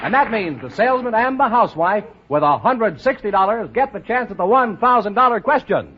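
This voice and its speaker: A man's voice: high voice, lively intonation, fairly quick. An American man announcing a radio show in polished 1940s style. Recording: muffled 1940s radio recording. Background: music.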